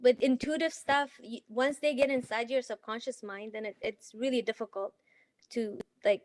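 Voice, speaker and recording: A woman who grew up in Afghanistan, a healer guest in an interview, talking earnestly with many pauses, fillers and restarts. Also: a little high, fairly even intonation, slight background noise. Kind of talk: casual interview conversation.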